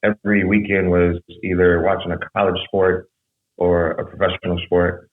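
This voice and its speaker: Deep-voiced man on a tinny echoey line. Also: normal speed, slight background noise. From San Jose, California. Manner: relaxed, rambling storytelling with fillers. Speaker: podcast guest. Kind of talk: casual conversation. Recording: tinny phone-like echoey sound.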